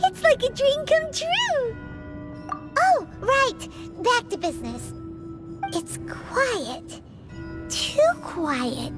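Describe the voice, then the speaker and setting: A woman voicing a tiny, chatty, excitable fairy girl with a squeaky childlike voice in a video game. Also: extremely slow, long pauses, heavily acted. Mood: wary, alarmed. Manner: exclamatory, refers to self in third person. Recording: music behind the voice.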